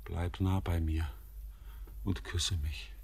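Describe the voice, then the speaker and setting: An entranced young bridegroom in a poetic German radio drama. His husky voice moves very slowly, solemn and intimate. He declaims with the fervour of an otherworldly, ardent visionary. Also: deep voice, level tone, a little mellow, full character voice. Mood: ardent longing.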